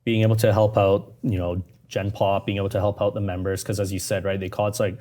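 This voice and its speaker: A man's voice: lowish voice, level tone, fast, warm tone. A man with a Canadian accent and Persian as his first language, telling his story casually as an interview guest and voicing quoted reactions informally. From Canada, moved from Iran as a young child. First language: Persian (Farsi). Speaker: podcast guest, police constable.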